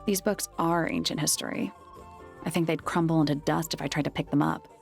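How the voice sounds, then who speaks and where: Creaky, low-voiced sarcastic female sleuth. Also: steady tone, a little fast, faint music behind. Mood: wry. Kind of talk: video game voice acting.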